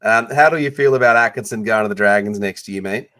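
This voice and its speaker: A man with an Australian accent hosting a casual sports podcast, with quick bursts of enthusiasm when he greets guests. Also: fairly low pitch, sharp tone. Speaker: podcast host.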